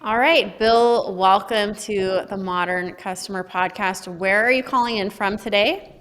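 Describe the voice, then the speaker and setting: A woman's voice: mid-range voice, unhurried, a bit bright, faint music behind. A woman hosting a podcast, introducing and questioning her guest in an upbeat, chatty way. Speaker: podcast host. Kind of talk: podcast interview. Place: home studio. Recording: long reverb.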